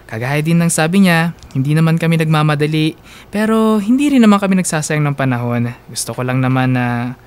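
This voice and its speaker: Young woman narrator, smooth clear voice, sincere, confiding, fond, radio drama. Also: low-pitched, darkish tone. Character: young woman fondly retelling her love story.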